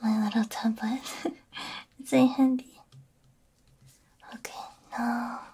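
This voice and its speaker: A woman speaks in a very breathy, whispered ASMR style, close to the mic. Her voice is high and soft, with a slow, unhurried pace. She sounds gentle and reassuring, as if talking someone through a careful procedure. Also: little pitch movement. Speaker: ASMR roleplay creator playing a robot repair technician. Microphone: close binaural ASMR microphone.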